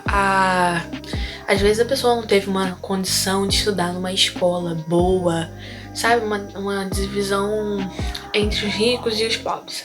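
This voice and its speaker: A Brazilian teenage girl giving a casual, conversational opinion for a school project. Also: medium pitch. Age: teens. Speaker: student. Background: music.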